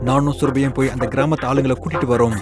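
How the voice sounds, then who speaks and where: A man's voice: mid-range voice, steady tone, very fast, natural voice. A man doing a brave teenage boy leading his friends. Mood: urgent. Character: boy student Rohit, brave and steady. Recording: cartoon audio over loud music.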